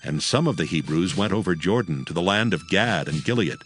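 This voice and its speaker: A man's voice: low voice, expressive, brisk. A man narrating scripture in a solemn, scripted, dramatic storytelling style. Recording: music under the narration.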